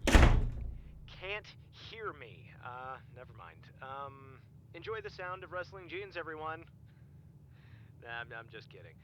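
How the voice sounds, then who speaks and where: A man's voice: on the high side, very animated, unhurried, long pauses. Muffled, noisy wry male radio host. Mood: awkward, wry. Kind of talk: comedic audio drama acting.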